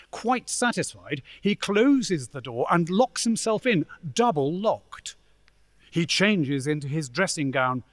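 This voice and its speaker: An actor narrating a radio play as a measured, dramatic storyteller of a gloomy ghost tale. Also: male voice, on the high side, no room sound, studio quality.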